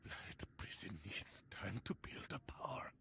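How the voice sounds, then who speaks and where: A dying survivor's breathy whisper. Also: a little slow, pushed and bright. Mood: urgent. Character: dying wounded survivor, gasping out a warning. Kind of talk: video game dialogue.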